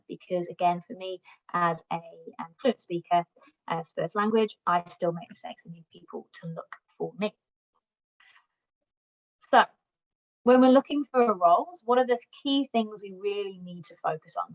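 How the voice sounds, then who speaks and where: A woman presents job search advice in an upbeat, encouraging manner, her voice at a middling pitch. The sound is somewhat muffled and lo-fi, as though coming through a video call. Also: darkish tone. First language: English, also Welsh. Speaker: recruitment platform customer success manager giving a job search talk. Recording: dull lo-fi recording.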